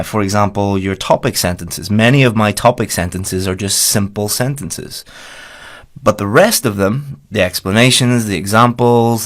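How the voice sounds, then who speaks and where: A man talks a class through slides, direct and plain, circling back to repeat each key point. His voice sits fairly low. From Ireland. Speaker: teacher. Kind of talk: slideshow lesson voice-over.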